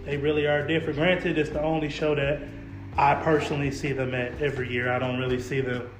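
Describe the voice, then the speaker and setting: A man's voice: medium pitch, level tone, brisk, music in the background. Laid-back slangy young man, echoey room. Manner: laid-back slangy talk, repeats words, laughs often. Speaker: podcast host, local car photographer. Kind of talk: casual chat.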